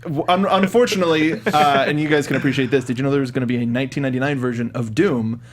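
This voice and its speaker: A man's voice: medium pitch, very fast. A young man hosting a casual film discussion show, working from notes and speaking into a close studio mic. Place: small indoor podcast studio. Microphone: close studio mic with foam cover.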